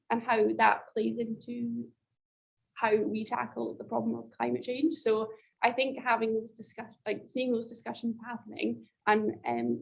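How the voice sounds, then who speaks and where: A woman's voice: a little high, gravelly, muffled recording. A woman speaking casually as a panel guest, with fillers, heard over a video call. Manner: casual, with "um" and "so yeah".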